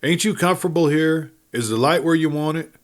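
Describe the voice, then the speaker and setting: A man's voice: fairly high pitch, fairly quick, voice pushed up, lightly acted. A man voicing a worried, weathered old rancher father in a western audiobook, urgent and folksy, demanding yet tender. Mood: coaxing. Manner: urgent, demanding, folksy cowboy drawl wording.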